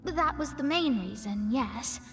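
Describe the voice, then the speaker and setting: A proud, wry young astrologist, composed and a touch haughty as she explains. Her voice sits high and lively, with a long reverb tail, and soft game music behind. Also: measured pace. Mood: serious. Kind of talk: studio game dialogue.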